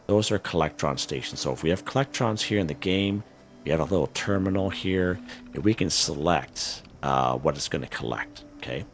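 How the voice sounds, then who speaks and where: A man with an extremely deep, hoarse voice, as if he is losing it, talks through a game in a loose, chatty way. He leans on fillers and keeps checking in with "okay?" Faint music runs underneath his commentary. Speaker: gaming channel host. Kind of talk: casual gameplay walkthrough commentary.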